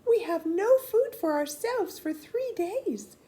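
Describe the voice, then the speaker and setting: Wary storybook peasant, played by a woman reader: plaintive excuse-maker, very lively pitch, faint noise behind. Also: takes it slowly, exaggerated voice. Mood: apologetic, refusing. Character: wary, stingy peasants making sorrowful excuses. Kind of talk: storybook character reading.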